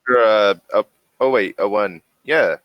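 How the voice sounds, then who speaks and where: A man narrating a tabletop role-playing game as game master in a casual, halting way, thinking aloud as he goes. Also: on the low side, dry recording, muffled recording. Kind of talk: casual, improvised game narration and rules talk.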